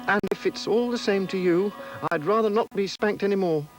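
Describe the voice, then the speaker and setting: A man voicing a small, polite young elephant calf in a storybook, with a high, pleading, breathless voice and music playing behind. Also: normal speed, natural voice, poor audio.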